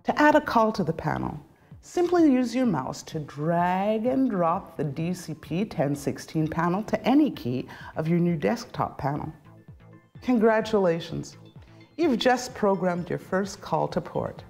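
A middle-aged woman giving scripted instructional narration as a tutorial presenter, enunciating clearly step by step. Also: lowish voice, very animated, measured pace, a little mellow. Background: background music.

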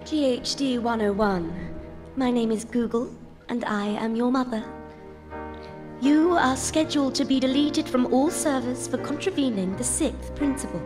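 A woman voicing a calm, formal female computer overseer in an audio drama. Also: high-pitched, moderate pace, natural voice. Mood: cold. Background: music.

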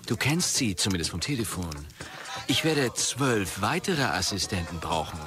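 An actor playing a grandiose, dreamy visionary creator at a start-up in an audio drama. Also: male voice, lowish voice, very animated, normal speed. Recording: music behind the voice.

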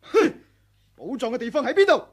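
A hot-headed treasure hunter in a 1980s Hong Kong Cantonese radio play. His voice is very high with big swings, and he sounds defiant and grasping throughout. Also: very animated, normal speed, husky, exaggerated voice. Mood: accusing. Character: greedy, hot-headed male martial arts fighter. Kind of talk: 1980s radio drama acting.

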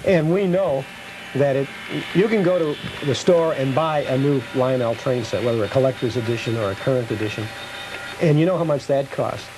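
A man's voice: medium pitch, moderate pace, noisy background. A man hosting a conversational interview as the show's interviewer. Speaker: TV show host interviewer.

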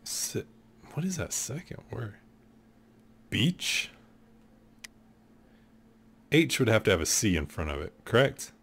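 A man in his thirties with a deep voice, casually commenting while he plays a game show video game at his desk at home. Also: sing-song, takes it slowly, pauses often, a bit bright, breathy edge. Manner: laid-back, joking, thinking aloud. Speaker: streamer.

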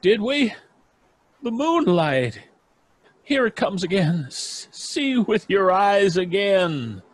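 A middle-aged man playing a gruff, determined small-town doctor in an old-time radio horror play, with dramatic pauses. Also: sits high, sing-song, dry recording, full character voice. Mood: shaken, grave. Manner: urgent, commanding, dramatic pauses.

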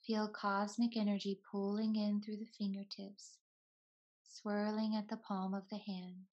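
A woman leads a guided relaxation as a yoga teacher. Her delivery is soothing and very slow, in short phrases separated by long pauses. The pitch stays quite even, and the recording sounds slightly dull. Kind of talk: slow guided meditation narration.

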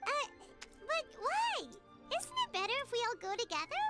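A woman voicing an excitable little fairy girl in an anime game, squeaky, childlike and chirpy. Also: normal speed, full character voice. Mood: puzzled, agreeable. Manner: chirpy, refers to self by name. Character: excitable little fairy girl companion, third-person self-talk. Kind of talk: anime video game acting. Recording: prominent game music behind.

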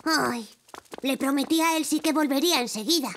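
An actor voicing a curious, eager five-year-old zebra boy in a children's cartoon, earnest and excitable. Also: sits high, normal speed, a little hiss or hum. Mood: worried. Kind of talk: animated children's cartoon dubbing.

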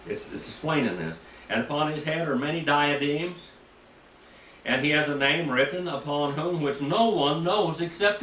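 An older man leads a Bible class with a slightly high voice, often asking his listeners questions as he reads scripture. The sound comes from a distance in an echoey room. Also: fairly bright tone, slight background noise. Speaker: preacher teaching a Bible class. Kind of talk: Bible teaching lecture. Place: small church room, indoors. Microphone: room mic from a distance.

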